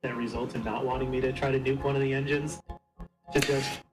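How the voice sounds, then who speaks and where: A man playing a tabletop game, chatting casually in a halting, tentative way. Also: medium pitch, flat delivery, rough recording. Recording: music clearly audible behind. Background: background music.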